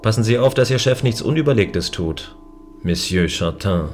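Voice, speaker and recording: An actor playing a stern, distrustful guardian of a remote space station, speaking formally in a science fiction audio drama. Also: male voice, fairly low pitch, expressive, normal speed, soft background music, lightly acted. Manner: formal, warning.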